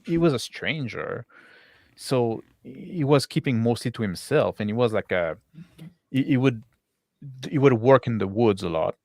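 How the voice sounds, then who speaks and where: A man improvising as game master in tabletop roleplay, halting and often repeating and rephrasing his words. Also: mid-range voice, animated, gravelly, darkish tone, no echo.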